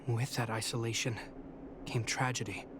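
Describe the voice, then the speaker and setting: A man's voice: mid-range voice, level tone, normal speed, fairly bright tone, a little hiss or hum, lightly acted. A man voicing an earnest guard captain. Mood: reassuring. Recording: game audio with music behind.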